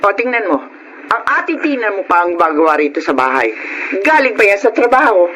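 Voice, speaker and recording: A woman's voice: mid-range voice, sing-song, brisk, a crowd in the background, close to an everyday voice. An actress playing a middle-aged, overworked Filipino mother in a radio drama, scolding and complaining. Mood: exasperated. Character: nagging, overworked mother, exasperated with her son. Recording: noisy radio drama track.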